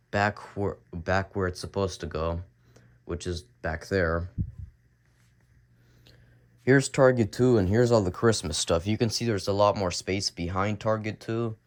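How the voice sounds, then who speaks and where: Raspy deep-voiced young man, sick. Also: slight background noise. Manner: rambling, repeats and restarts as he shows things. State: sick, coughing. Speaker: vlogger. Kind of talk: casual home vlog tour. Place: home basement, indoors.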